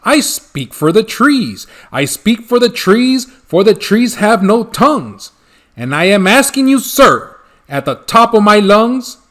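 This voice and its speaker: A man voicing a short, oldish, bossy forest creature with a sharp, indignant, shouting delivery in a storybook reading. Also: sits high, big pitch swings, takes it slowly, voice pushed up, exaggerated voice. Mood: upset, indignant. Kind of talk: storybook read-aloud.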